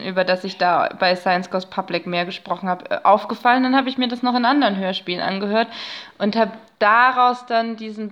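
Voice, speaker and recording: A woman speaking as an academic guest in a conversational interview, explaining her research in a thoughtful, halting way with scholarly vocabulary. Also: medium pitch, fairly bright tone.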